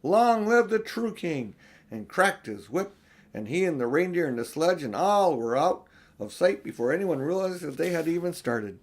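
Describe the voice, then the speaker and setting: An older man narrating a children's audiobook expressively, with unhurried, deliberate phrasing. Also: fairly high pitch, animated. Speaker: audiobook reader.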